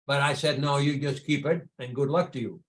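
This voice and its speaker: A man's voice: mid-range voice, fairly quick, rough recording. An older man with a Dutch accent telling personal stories in a conversational way as an interview guest. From Netherlands. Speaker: podcast guest, entrepreneur and charity founder.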